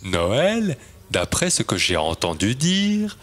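A man's voice: medium pitch, huge pitch swings, takes it slowly, fairly bright tone, exaggerated voice. A man voicing a tall, self-assured fir tree in a children's storybook, with an opinionated, declamatory manner. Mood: self-assured, dreamy.

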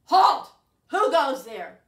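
A woman voicing an angry male troll guarding his bridge, shouting threats. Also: sits high, unhurried. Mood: bellowing. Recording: roomy echo, distant mic.

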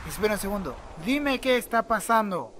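A man's voice: high-pitched, big pitch swings, moderate pace, noisy background. A man with a Latin American accent playing a confused, defiant teenage boy hero in an amateur fan dub of a game.

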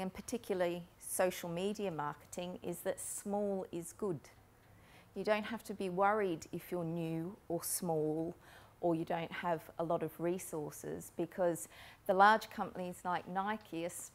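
A woman in her forties giving a conference talk in a lecture room on a lapel mic, explaining steadily with frequent ums. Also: fairly low pitch. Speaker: keynote speaker on marketing. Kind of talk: conference presentation. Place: lecture room with a projector screen.

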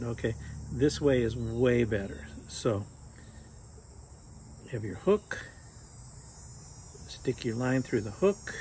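A man's voice: mid-range voice, darkish tone, slight background noise. Joking older man teaching knot tying. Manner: chatty and joking, talks while tying knots. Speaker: fly fishing instructor. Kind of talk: casual how-to demonstration. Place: outdoors on a sunny patio by a fence.

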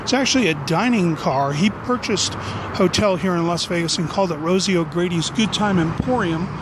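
Guy in his 40s vlogging on foot, highish voice, rambly n jokey, noisy street behind him. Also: fairly quick. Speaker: vlog host. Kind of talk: casual walking-tour narration. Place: outdoors on city back streets. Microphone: handheld camera at arm's length. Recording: noisy outdoor street with traffic.

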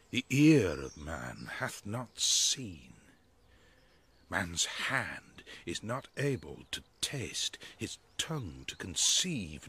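An actor playing a bumbling, pompous weaver in a Shakespeare radio drama, declaiming haltingly in a husky voice. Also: male voice, deep voice, pushed and bright, heavily acted. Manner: slow, halting, awestruck declaiming. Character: Bottom, a bumbling pompous weaver waking from a dream. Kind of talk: British radio drama, theatrical Shakespeare soliloquy.

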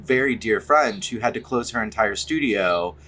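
A man's voice: lowish voice, animated, moderate pace, pushed and bright. Earnest man hosting a video call. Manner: earnest, supportive, fillers like "you know". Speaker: interview host. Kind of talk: casual video-call interview. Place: outdoors in a garden.

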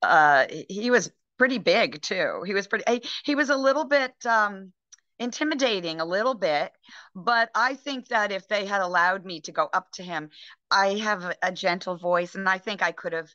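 Bright-voiced woman on a video call. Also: mid-range voice, lively intonation, moderate pace, no room sound. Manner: chatty, rambling, frequent ums and restarts. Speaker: actress guest. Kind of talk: casual interview over video call. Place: home room, indoors. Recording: dull lo-fi video call audio.

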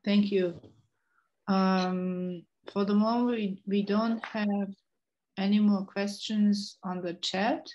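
A woman with a middling pitch moderates an academic discussion over a video call. She reads audience questions aloud and passes the floor to participants. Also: steady tone, slow, darkish tone, dull audio. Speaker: moderator of online lecture. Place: indoor room on video call.

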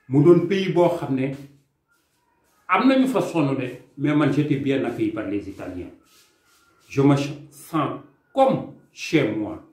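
A young man with a Senegalese accent speaks earnestly and emphatically to a camera in a small room. His voice sits at an ordinary middle pitch. The room adds a noticeable echo. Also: darkish tone. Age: young adult. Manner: impassioned, emphatic, gesturing with his hands. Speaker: immigration consultant. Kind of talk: earnest video statement, mixing French and Wolof. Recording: echoey room sound.

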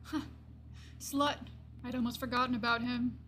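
A woman plays an aged lion-folk druid from a fantasy tabletop game, speaking in a fairly high voice. The delivery is gentle and reflective, with wistful pauses and phrases that fade into a hush. There is some noise on the recording. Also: little pitch movement, natural voice. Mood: amused, bashful. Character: gentle old gray-furred lion-folk druid in metal armor.

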